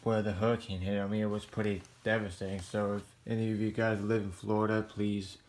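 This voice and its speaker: Low-pitched man, very even delivery. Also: brisk. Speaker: hobbyist video host. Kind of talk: casual product review to camera.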